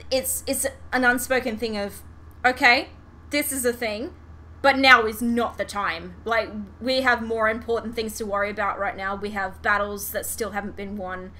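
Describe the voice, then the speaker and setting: A woman's voice: on the high side, normal speed. A woman podcast host chatting casually and animatedly about a fandom, swearing freely. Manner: animated, sweary, giggly retelling. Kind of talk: casual fan podcast chat. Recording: clear background noise.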